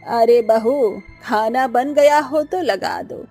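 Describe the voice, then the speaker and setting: A woman's voice: on the high side, slow pace, dark tone, breathy edge, faint music behind. Woman as an older, firm mother-in-law. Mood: hearty.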